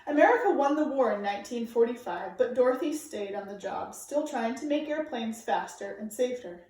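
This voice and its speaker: A woman reading aloud to children like a teacher, with clear, deliberate, emphatic phrasing. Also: on the high side, animated, a little mellow, a little hiss or hum. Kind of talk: read-aloud storytelling for children, informal introduction. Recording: very echoey room, distant microphone.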